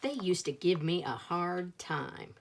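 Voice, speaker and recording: A woman's voice: fairly low pitch, lively intonation, slow, vocal fry, slight background noise. A woman reading a children's picture book aloud as a storyteller, expressively acting out the dialogue.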